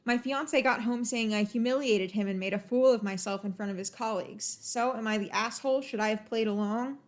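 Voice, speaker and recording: A woman's voice: on the high side, brisk. A woman guesting on a casual podcast, talking emphatically and laughing easily.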